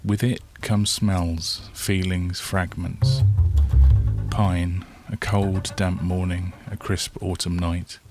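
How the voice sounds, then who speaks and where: Deep voiced guy reading a story real slow, dramatic pauses, short phrases, loud guitar music behind. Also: fairly even intonation. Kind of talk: slow, hushed scripted story narration. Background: soft plucked guitar soundtrack.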